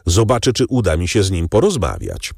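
A man voicing gossiping ladies and gentlemen at the opera in a raised, animated voice. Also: deep voice, a little fast, creaky, husky, dry recording, clean studio sound, voice pushed up, heavily acted. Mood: chatty.

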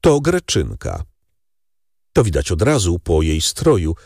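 A man voicing gossiping ladies and gentlemen at the opera in a raised, animated voice. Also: deep voice, measured pace, vocal fry, no echo, clean studio sound, voice pushed up, full character voice. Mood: chatty.

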